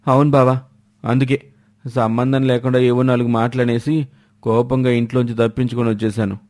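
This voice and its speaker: A man's voice: mid-range voice, level tone, normal speed, a little mellow, lightly acted. A man voicing a hot-tempered, deceitful husband in a family storybook tale. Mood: boastful.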